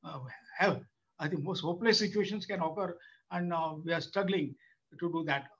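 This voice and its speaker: An older Indian man with a fairly high voice speaks off the cuff at a webinar, stopping and restarting his sentences often. The sound is dull and lo-fi, as from a video call. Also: fairly bright tone. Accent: Indian English. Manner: halting, many restarts and fillers. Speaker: webinar speaker, former institute chairman. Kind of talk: unscripted speech.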